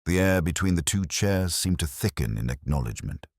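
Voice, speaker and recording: A man with an extremely low voice reads a first-person detective mystery in a measured, reflective way. His intonation rises and falls with lively expression. The sound is close and dry, with no room around it. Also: creaky, no room sound. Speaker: narrator.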